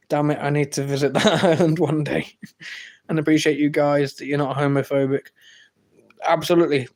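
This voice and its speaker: Brash English man, slightly high voice. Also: a little mellow. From England. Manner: brash, teasing, boastful. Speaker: livestream host reading chat. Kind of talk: casual live-stream banter.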